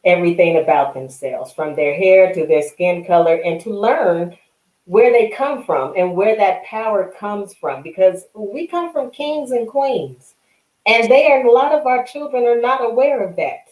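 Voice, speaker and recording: A woman who wrote a children's book, answering as a guest on a radio talk show in an earnest, measured way. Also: mid-range voice, expressive, a little mellow, echoey room, low-quality recording. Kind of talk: radio interview.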